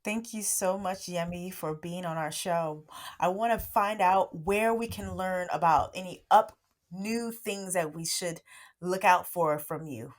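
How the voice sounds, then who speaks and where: A woman's voice: lowish voice, unhurried, no echo. A woman hosting a podcast interview, upbeat and chatty, laughing often. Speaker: podcast host. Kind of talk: casual interview chat.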